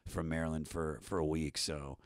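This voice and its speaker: A man's voice: a bass voice, level tone, moderate pace, no room sound, clean studio sound. A man in his thirties with a Baltimore accent, a guest rambling easily through casual chat. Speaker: podcast guest.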